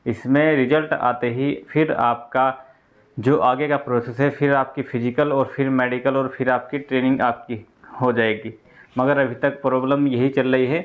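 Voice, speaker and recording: A man's voice: medium pitch, little pitch movement, moderate pace, phone-quality sound. A man giving an informal explainer to students as a presenter, going step by step and repeating key points. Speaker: exam news presenter explaining updates to candidates.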